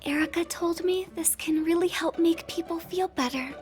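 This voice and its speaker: Super high sweet gentle girl voice, quiet music behind. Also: normal speed. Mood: tender. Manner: soft, caring. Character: sweet, gentle young woman. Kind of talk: video game voice acting. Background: game music.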